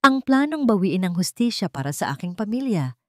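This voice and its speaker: A woman's voice: mid-range voice, brisk, dry recording, studio quality, voice pushed up. A woman voicing a coldly determined, vengeful witness in a story, speaking firmly and steadily. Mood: cold resolve. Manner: firm, steady.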